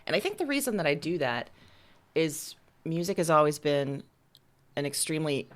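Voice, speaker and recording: A woman's voice: low-pitched, sing-song, moderate pace. A woman giving casual interview answers as a writer, talking in a candid, reflective and informal way. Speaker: interviewed writer and audio drama creator.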